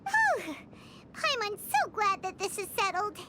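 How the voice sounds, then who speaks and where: A woman voicing a tiny, childlike, excitable fairy companion in an anime-style game, full of chirpy exclamations. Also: very high voice, measured pace, background noise, exaggerated voice. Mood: relieved. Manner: exclaiming, speaks of self in third person. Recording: clean voice over background music.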